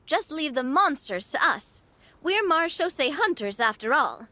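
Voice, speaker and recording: A woman's voice: sits high, very animated, normal speed, sharp tone, heavily acted. A woman playing an earnest, spirited young female monster hunter in an anime-style video game. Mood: concerned, reassuring.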